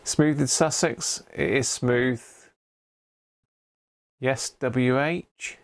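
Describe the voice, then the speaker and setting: A British man with a gravelly, creaky edge to his voice hosts a relaxed livestream. He chats informally with viewers and thinks out loud, often ending on little tag questions. The sound is close and dry. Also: medium pitch, very slow, dry recording. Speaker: livestream host. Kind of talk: casual live chat, talking to viewers.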